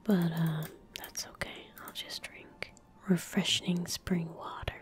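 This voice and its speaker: A young woman softly whispering ASMR game commentary in a breathy, gentle, hushed voice, close to the microphone. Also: on the low side, flat delivery, takes it slowly. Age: young adult. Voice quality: whispery, breathy, soft-spoken. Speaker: ASMR gaming streamer. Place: indoor room at a desk. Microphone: close desk microphone. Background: keyboard typing and mouse clicks.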